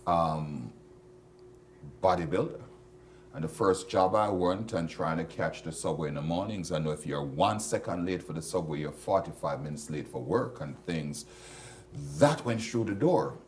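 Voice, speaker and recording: A Jamaican man hosting a casual chat, joking and laughing, with sudden loud bursts. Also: sits low, slight background noise. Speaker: host.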